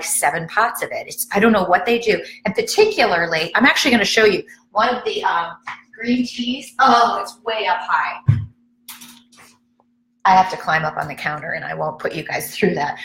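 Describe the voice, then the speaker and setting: A woman's voice: fairly low pitch, pushed and bright, reverberant, a little hiss or hum, low-quality recording. A woman from the Chicago area chatting casually and rambling on a live stream at home, joking about herself and answering viewer comments. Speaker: fitness vlogger hosting a live stream. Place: at home, indoors.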